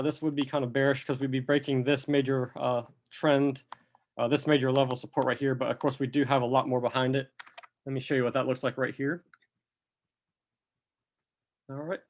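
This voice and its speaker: A man talks through trading charts at an unhurried pace, explaining each step as he goes. He is a little under the weather and has a slight cough. His pitch sits in an ordinary middle range for a man. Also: creaky, muffled recording. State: a bit sick with a cough. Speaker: crypto chart analyst. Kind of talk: screen-recorded chart walkthrough.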